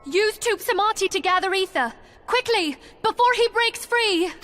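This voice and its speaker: An earnest young mystic leader in a fantasy game. Her voice is very high and solemn, with a pleading manner, over faint music. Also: expressive, normal speed, fairly bright tone. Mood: urgent. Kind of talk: fantasy video game acting.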